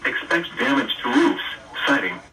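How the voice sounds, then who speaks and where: A synthetic man's voice of middling pitch delivers a formal emergency weather readout. The delivery is flat and list-like. It comes from a weather radio speaker, picked up from a distance. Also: moderate pace. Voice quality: synthetic text-to-speech voice. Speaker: automated weather radio announcer.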